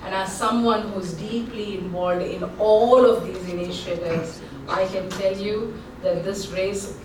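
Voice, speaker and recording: Brief: female keynote speaker, earnest corporate tone, echoey hall with clear background noise. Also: medium pitch, fairly even intonation, unhurried, far from the mic. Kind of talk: keynote speech. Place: large conference hall.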